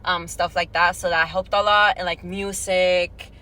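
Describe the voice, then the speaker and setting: Teen girl from venezuela, spanish is her first language, bright lowish voice, chatty podcast guest, says like a lot. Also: fairly even intonation, a little slow. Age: late teens. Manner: chatty, peppers talk with "like". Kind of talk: casual conversational interview answers.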